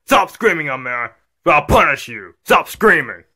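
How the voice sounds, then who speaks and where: A man's voice: a little high, big pitch swings, moderate pace, sharp tone, exaggerated voice. A man voicing a stern, weary dad in an amateur skit, admonishing his rowdy child in a repetitive, subdued way. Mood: stern scolding.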